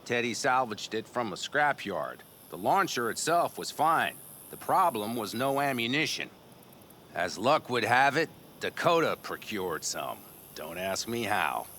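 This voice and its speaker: A man's voice: mid-range voice, big pitch swings, unhurried, bright, forceful tone, a little hiss or hum. A man playing a proud, eager nomad mechanic in a video game, with a showy, folksy manner. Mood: explaining.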